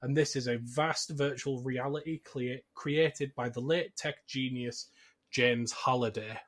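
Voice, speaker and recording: A man's voice: medium pitch, fairly even intonation, a little slow, fairly bright tone. A man hosting a podcast, talking through a film in an informal, wry and casual way. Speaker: podcast host. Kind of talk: casual film discussion and plot recap.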